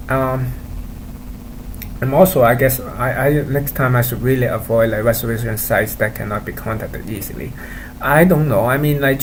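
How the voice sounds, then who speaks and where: Cantonese-native guy venting to his viewers, lots of uh and like, keeps restarting, normal pitch. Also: a little hiss or hum, poor audio. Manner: halting, full of fillers and restarts, complaining. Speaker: vlogger. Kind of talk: casual monologue to camera.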